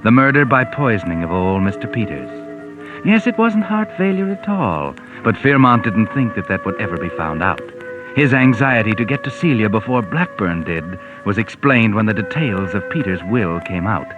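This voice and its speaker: A man's voice: lowish voice, very animated, moderate pace, narrow, tinny audio. A man acting as the sly, sardonic, all-knowing narrator of a 1940s American radio mystery, with an American accent. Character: sly, omniscient sardonic narrator of crime tales. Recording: thin band-limited 1940s radio, organ-era music behind.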